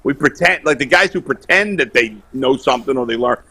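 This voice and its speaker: A man calling in to a sports talk show, loud, blunt and opinionated, bantering casually over a video call. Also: medium pitch, expressive, fairly quick. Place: remote video call while on vacation.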